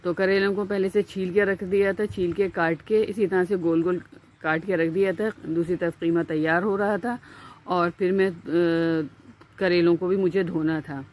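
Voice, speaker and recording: A woman with a Pakistani Urdu accent, hosting a home cooking channel and explaining each step in a chatty voice-over with small pauses. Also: fairly low pitch, steady tone, a little mellow. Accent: Urdu-speaking Pakistani.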